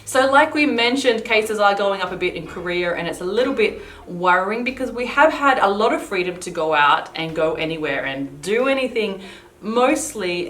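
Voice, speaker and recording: A woman's voice: mid-range voice, fairly bright tone. A woman in her thirties co-hosting a casual chat, talking freely with plenty of fillers. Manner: chatty, uses many fillers. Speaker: co-host. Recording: echoey room with faint hiss.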